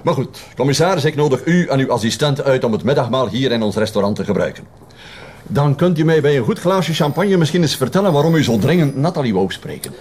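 A man's voice: medium pitch, expressive, fast talker, a little mellow, husky. A man with a Flemish accent playing a courteous, proud hotel-school director in a TV drama, speaking formally. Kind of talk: TV drama dialogue.

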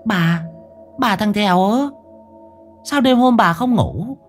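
A man's voice: sits high, expressive, slow pace, faint music behind, pitched above the performer's own voice, heavily acted. A man voicing a panicked old village wife, stammering and breathless. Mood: scolding.

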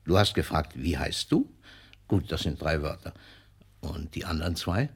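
An actor playing a wry, indulgent elderly poet in a German radio play, with a husky voice and a measured, avuncular manner. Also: male voice, extremely low pitch, lively intonation, exaggerated voice. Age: older.

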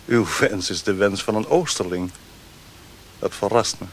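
A man playing a courteous, commanding Chinese lord in a radio play, speaking in a formal, measured, stately manner. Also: lowish voice, lightly acted. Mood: gracious. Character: courteous, commanding Chinese lord, curious about Western science. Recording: hissy old radio play recording.

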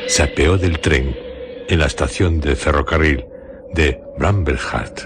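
A man narrating a radio drama as a solemn storyteller, in deliberate, carefully separated literary phrases. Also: rumbling low, background noise. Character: solemn, measured male storyteller.

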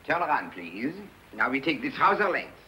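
An actor playing a fussy, flattering French tailor with a French accent in an old Hollywood film comedy. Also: male voice, mid-range voice, moderate pace, a little hiss or hum, rough recording. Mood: businesslike, courteous. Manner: obsequious, effusive. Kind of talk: 1940s Hollywood film acting.

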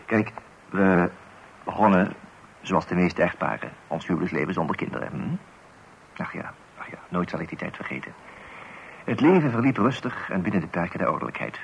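A man's voice: deep voice, expressive, normal speed, vocal fry, long pauses. An actor playing a wry husband who narrates his family life in a radio play with mock-solemn musing. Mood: musing, nostalgic. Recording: dull, hissy old radio recording.

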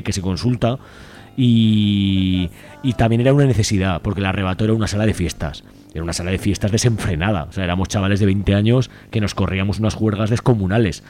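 A man's voice: on the low side, warm tone. A man chatting casually on the radio as a library volunteer, talkative and colloquial, often checking for agreement with question tags. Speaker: community library volunteer, interview guest. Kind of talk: casual spontaneous radio chat.

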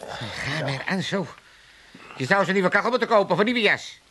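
A man's voice: high-pitched, normal speed, full character voice. An actor playing a cranky, nosy, greedy old father with an Amsterdam accent in a comic radio drama. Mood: grumbling, teasing. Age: older. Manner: grumbling, excitable, stammering repeats.

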